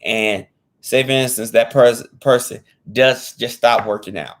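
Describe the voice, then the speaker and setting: A man in his thirties speaks to camera in an easygoing, earnest vlog style. He loops back and restates his points, leaning on informal slang. His voice sits at an ordinary middle pitch. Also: a little slow. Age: 30s. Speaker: fitness vlogger. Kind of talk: casual talk to camera.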